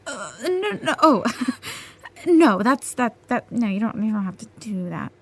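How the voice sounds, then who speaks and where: Nervous starstruck teen girl, high n slow-ish w lots of stammering, audio drama. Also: sing-song, exaggerated voice. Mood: awkward, hesitant. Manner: flustered, stammering, hesitant. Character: starstruck, awkward teenage girl fan, eager and anxious. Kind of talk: naturalistic audio drama dialogue.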